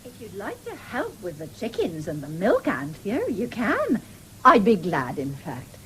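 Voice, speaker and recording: Plain-spoken farm mother, lively intonation. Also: medium pitch, measured pace. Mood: measured. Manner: homely, no-nonsense. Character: practical, cautious farming mother, plain-spoken and firm. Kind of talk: 1970s British radio drama acting. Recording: noisy old radio broadcast recording.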